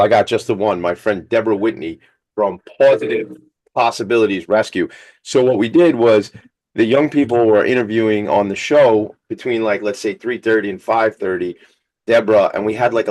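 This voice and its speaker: A man hosting a radio talk show with a slightly hoarse, scratchy voice, talking in an energetic, rambling, hype-man way. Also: lowish voice. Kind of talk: casual hype radio chat.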